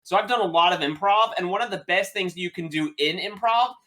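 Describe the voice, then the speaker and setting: A man with a high voice often mistaken for a woman's, chatting casually and rambling on a podcast. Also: brisk, pushed and bright. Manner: rambling, filler-heavy. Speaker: podcast co-host. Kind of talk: casual chat.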